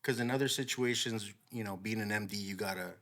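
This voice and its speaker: A man's voice: fairly low pitch, fast, a bit bright. A young man who grew up partly in El Salvador, chatting casually as a guest musician and often saying "bro.". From California, childhood in El Salvador. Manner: laid-back, says "bro" a lot, laughs easily. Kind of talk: casual podcast chat.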